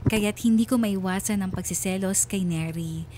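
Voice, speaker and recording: Actress as a wistful wife narrating, faint background noise. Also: female voice, mid-range voice, normal speed, mellow, close to an everyday voice. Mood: reflective. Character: wistful wife and mother narrating her letter confession. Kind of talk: scripted first-person radio drama narration.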